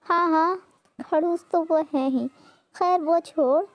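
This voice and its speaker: A woman voicing a bold, breezy young bride, in a high put-on voice. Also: slow pace, dry recording. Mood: dismissive.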